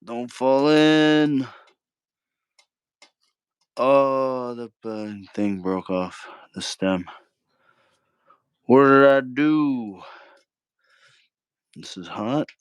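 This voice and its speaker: A man's voice: medium pitch, very slow, long pauses, smooth, no echo. A man hosting a live cooking stream, rambling casually in slang and arguing with his chat as he cooks. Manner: slangy, argumentative, keeps saying bro. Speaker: cooking livestream host.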